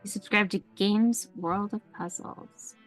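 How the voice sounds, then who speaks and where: A woman's voice: mid-range voice, steady tone, unhurried, faint music behind, low-quality recording. A woman chatting casually and playfully as she streams a game, with a teasing manner. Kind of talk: casual livestream chat.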